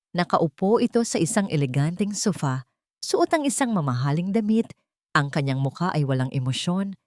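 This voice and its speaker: A woman narrates a scripted audio drama. Her pitch sits a little below average for a woman. The recording is dry, with next to no room sound. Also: a little mellow, no echo.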